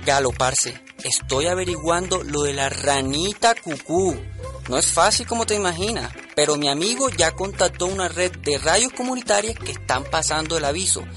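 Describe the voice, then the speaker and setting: A man's voice: a little high, animated, normal speed, fairly bright tone, soft background music. A man playing a friendly, helpful young Colombian buddy relaying a message in a radio drama, with a Colombian accent. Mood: informative.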